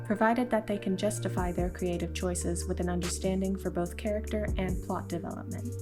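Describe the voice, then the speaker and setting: A woman reading scripted showcase narration in a measured, formal way. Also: lowish voice, fairly even intonation. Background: background music.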